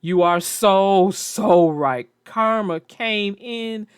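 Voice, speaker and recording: A woman reading a children's storybook aloud in a slow, expressive way, then chatting casually about it. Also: mid-range voice, clear, resonant tone, dry recording, clean studio sound. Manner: slow, expressive read-aloud with character voices. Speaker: storybook reader and co-host.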